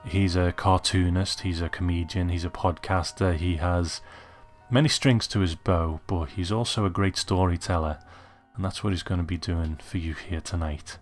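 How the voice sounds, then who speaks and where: Guy hosting a podcast, low voice, chatting over faint music. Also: steady tone, moderate pace. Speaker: podcast host. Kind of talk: conversational podcast introduction.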